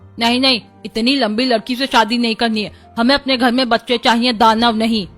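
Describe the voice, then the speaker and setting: A woman acts a disapproving cartoon mother with a grown son. She scolds indignantly in a somewhat high voice. Faint music runs beneath. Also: fairly even intonation, a little fast, fairly bright tone, natural voice. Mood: angry. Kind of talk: Hindi family cartoon dubbing.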